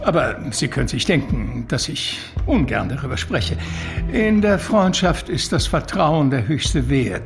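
A man's voice: medium pitch, sing-song, measured pace, creaky, over music. An actor playing an urbane, talkative and evasive male confidant in a radio drama, with mannered, eloquent phrasing. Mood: confiding. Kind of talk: German radio drama acting.

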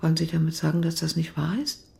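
An actress playing a gravely ill East German woman in a radio drama, grieving, hesitant and guarded. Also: sits low, completely flat delivery, quick pace, dark tone. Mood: guarded, wary.

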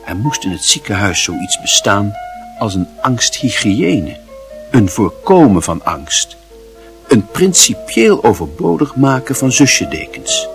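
A man narrating a radio play in a measured, expressive, literary storytelling style. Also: lowish voice, music in the background.

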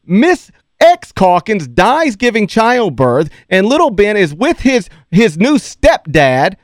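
A man's voice: sits high, big pitch swings, slow pace, no echo, studio quality. A man hosting a sports talk radio show who tells rambling stories and laughs often.

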